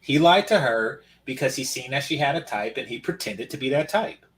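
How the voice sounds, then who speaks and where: A man's voice: mid-range voice, animated, normal speed, fairly bright tone. A man from Oakland chatting casually as a podcast co-host, telling stories in a wry, matter-of-fact way. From Oakland, California. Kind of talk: casual chat.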